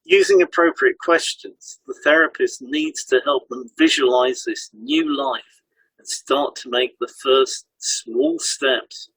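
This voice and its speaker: Slightly husky man presenting a podcast. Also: a little high, huge pitch swings, slow pace, fairly bright tone, no room sound, poor audio. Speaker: podcast co-host, hypnotherapist. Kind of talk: scripted podcast narration, instructional.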